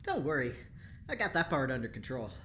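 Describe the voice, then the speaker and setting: A woman's voice: rumbling low, very animated, fairly quick, a bit bright, slight background noise, exaggerated voice. A woman playing a cocky, teasing prisoner engineer in an audio drama, with a breezy, playful way of talking. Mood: confident.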